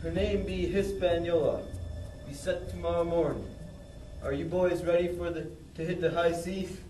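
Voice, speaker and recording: A man voices a distrustful, grumbling sea captain in a puppet show. His voice is slightly high and echoes from a distance, with clear music underneath. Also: steady tone, normal speed, a little mellow, lightly acted. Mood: grumbling, suspicious. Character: gruff, suspicious male ship's captain. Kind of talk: amateur puppet show acting. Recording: echoey room, distant mic, music behind.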